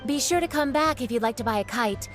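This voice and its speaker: An actress playing a friendly female kite seller in a video game, giving a cheerful sales pitch. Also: lively intonation, fast talker, faint music behind, close to an everyday voice. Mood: inviting.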